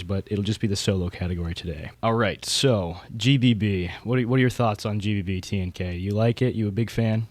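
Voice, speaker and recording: A man's voice: on the low side, a little mellow, dry recording. A man hosting a podcast in a casual, chatty style. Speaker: podcast host.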